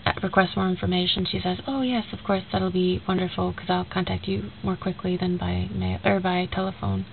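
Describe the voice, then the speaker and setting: A woman's voice: lowish voice, vocal fry. A young woman with a stuffy, nasal voice from a cold, talking casually in a rambling video message to a friend and retelling a conversation with many fillers. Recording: tinny lo-fi recording with faint hiss.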